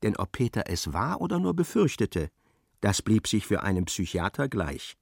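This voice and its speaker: A man's voice: lowish voice, very animated, normal speed, creaky, husky, dry recording, clean studio sound, exaggerated voice. A man narrating a literary radio play in a dramatic, scripted style.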